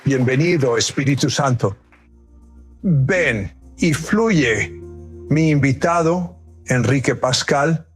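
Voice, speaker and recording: A man hosting an interview show, introducing his guest in a scripted, dramatic way. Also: medium pitch. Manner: slow, dramatic delivery. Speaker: host.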